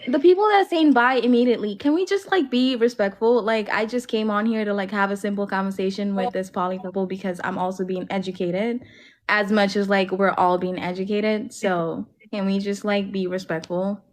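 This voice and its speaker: A woman's voice: medium pitch, fairly even intonation, fairly quick. A woman hosting a livestream, chatting casually with lots of "like" fillers. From Alabama, US. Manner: chatty, filler-heavy with frequent "like". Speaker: livestream host. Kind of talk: casual chat.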